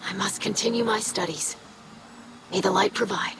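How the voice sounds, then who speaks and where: A woman's voice: medium pitch, steady tone, normal speed, gravelly, lightly acted. Woman as an eager young alien scholar, noisy backdrop. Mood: earnest, eager. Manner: polite, formal, precise. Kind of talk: video game character acting. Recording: loud background noise.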